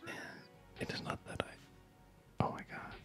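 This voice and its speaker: An ASMR gaming host speaking in a soft unvoiced whisper, rambling in a reflective, hesitant way, close to the microphone. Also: a little slow. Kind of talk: ASMR, unscripted rambling over gameplay. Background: music.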